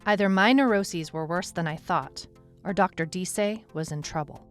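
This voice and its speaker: A woman's voice: fairly low pitch, moderate pace, lightly acted. A woman playing a wry, anxious detective who narrates her own case in a noir-like audio drama. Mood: wry, reflective. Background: music.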